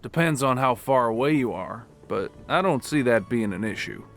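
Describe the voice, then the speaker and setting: A blunt, sarcastic old mechanic talks with a gravelly edge, as a male narrator plays him. He sounds gruff and practical, with quiet music underneath. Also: mid-range voice, expressive, moderate pace.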